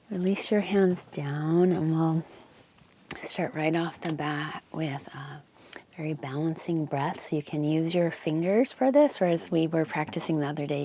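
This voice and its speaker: Low-voiced woman guiding a meditation. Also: warm tone. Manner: unhurried, informal, pausing between phrases. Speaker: meditation guide. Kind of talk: guided meditation, gentle instruction. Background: wind chimes and ambient noise.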